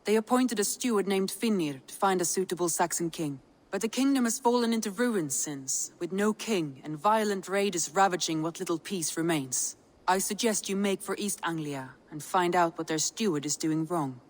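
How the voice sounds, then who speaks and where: An actress playing a composed Viking clan advisor, measured and explanatory, in a video game scene. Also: female voice, on the low side, close to an everyday voice. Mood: grave, advising. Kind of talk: dramatic video game acting.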